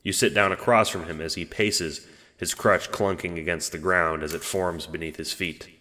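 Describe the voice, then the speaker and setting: Male narrator for scripted audio drama: very low, bright-edged voice, measured pace, reverberant hall sound. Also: fairly even intonation.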